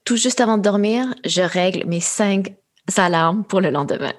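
A young woman, answering interview questions in a thoughtful, measured way with frequent pauses and fillers. Her is Khmer. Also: medium pitch. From Quebec, Canada. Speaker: guest, AI entrepreneur. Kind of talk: interview conversation.

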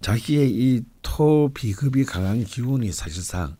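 A man's voice: medium pitch, animated, a little slow, dark tone, slightly rough, dry recording. A man explaining a fortune reading in a conversational radio talk, with an explanatory, emphatic manner. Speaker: fortune-telling (myeongri) commentator.

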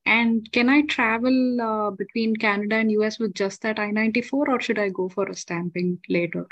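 A woman's voice: medium pitch, steady tone, normal speed, dry recording. A woman from India calling in, asking questions in a conversational way. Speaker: caller. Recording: dull, dry call audio.

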